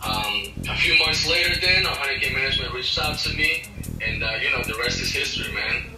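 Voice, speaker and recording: A man's voice: mid-range voice, wildly swooping pitch, fairly quick, vocal fry. A man around thirty from Ecuador, giving casual, slangy interview answers over a video call. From Ecuador, moved to the US at twelve. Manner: informal, slangy address. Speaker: interview guest, music A&R executive. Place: small indoor office. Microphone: video call, laptop distance. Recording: roomy, distant video-call audio with music behind.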